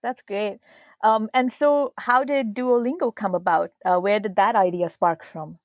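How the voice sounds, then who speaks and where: Host: woman, mid-pitched, enthusiastic, dry close recording. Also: unhurried, dry recording. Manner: enthusiastic, uses fillers. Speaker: podcast host. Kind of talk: interview host questions.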